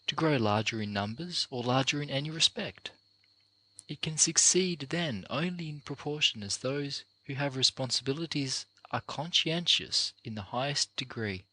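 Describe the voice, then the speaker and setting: A man narrating an audiobook of a motivational address, reading in a deliberate, earnest, oratorical way with measured phrases. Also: mid-range voice, lively intonation, bright, forceful tone, low-quality recording.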